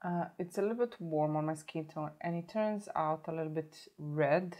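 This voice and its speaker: A woman in her thirties talks close to the camera in a fairly low voice, a little hoarse from a sore throat. She chats casually, breaks off to correct herself, and walks through each product as she shows it. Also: moderate pace, mellow. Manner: chatty, self-correcting, stops to show products. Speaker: beauty vlogger. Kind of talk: casual makeup tutorial. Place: indoor home filming set.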